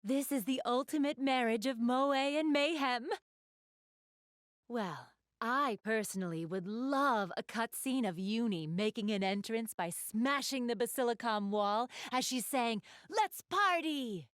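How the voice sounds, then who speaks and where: A woman's voice: a little high, animated, a little slow, no echo, clean studio sound. A woman playing an elegant young goddess in an anime video game, speaking to the player with polite, genteel, formal diction and a keen gamer's refinement. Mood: enthusiastic, polite.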